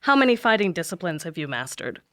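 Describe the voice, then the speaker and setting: A woman's voice: on the low side, very animated, fast talker, fairly bright tone, no room sound, clean studio sound. A woman playing a no-nonsense young monster hunter in a comedic audio drama, firm and businesslike. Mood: stern.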